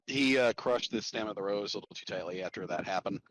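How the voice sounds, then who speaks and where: A man's voice: fairly low pitch, quick pace, fairly bright tone, no room sound, low-quality recording. A man chatting casually as a co-player during a game.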